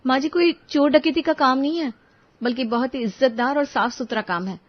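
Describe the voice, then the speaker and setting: A woman's voice: sits high, fairly quick, muffled recording, voice pushed up. A woman voicing an earnest, determined young daughter-in-law who reasons politely but firmly in a story. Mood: earnest, defensive.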